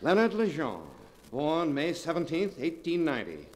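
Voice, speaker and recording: An actor playing a greedy, ruthless, impatient murderer in 1940s radio style, brusque and melodramatic. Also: male voice, a little high, big pitch swings, moderate pace, big-room sound. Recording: noisy 1940s radio recording.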